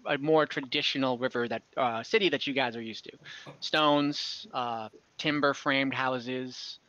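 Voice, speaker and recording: A young man narrates a tabletop game as its game master, his voice bright and forward. He rambles casually with plenty of fillers. The sound is dry and a little dull, like a headset on a video call. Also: mid-range voice, dry recording. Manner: relaxed, rambling, frequent fillers and laughs. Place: home room on a video call.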